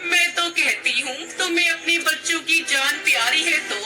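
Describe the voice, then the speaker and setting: A woman's voice: high-pitched, moderate pace, over music, close to an everyday voice. A woman voicing an outspoken, cautious female crow neighbour in a children's cartoon. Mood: alarmed warning. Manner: admonishing, frank. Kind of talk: Hindi cartoon moral story acting.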